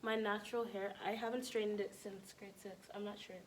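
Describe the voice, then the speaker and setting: A woman's voice: mid-range voice, little pitch movement, brisk, heavy background noise. A young adult woman telling personal stories casually as a podcast guest, in a chatty, informal, giggly way.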